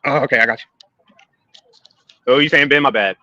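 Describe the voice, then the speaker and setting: A playful man with a big, brash delivery, talking fast in slangy banter from a crowded public place. His tone is bright and tense, and his phone line sounds dull and lo-fi. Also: medium pitch, dry recording. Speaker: guest on an online panel. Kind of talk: casual streetwise banter. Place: public place with people around.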